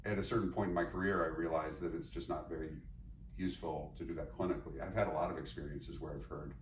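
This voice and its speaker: A man's voice: a bass voice, steady tone, quick pace. A middle-aged man speaking as a psychologist and teacher in a reflective interview, thoughtful and rambling with many fillers and restarts. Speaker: psychologist and teacher, podcast guest. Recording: echoey room, mic at a distance, faint noise.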